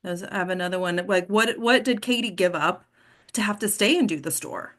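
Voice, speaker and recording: A woman's voice: mid-range voice, fairly quick, fairly bright tone. A woman acting coach hosting a casual discussion, explaining with emphasis and prompting the group with questions.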